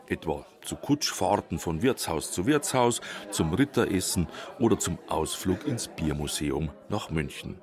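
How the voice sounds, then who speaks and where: Deep-voiced documentary narrator. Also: male voice. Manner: wry, measured storytelling. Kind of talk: scripted narration.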